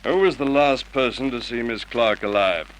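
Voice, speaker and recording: An actor playing a gruff, brusque police sergeant questioning people in an old radio drama. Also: male voice, mid-range voice, normal speed, slight background noise, lightly acted. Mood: brisk, probing. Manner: curt, questioning, commanding. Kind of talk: Golden Age radio drama acting.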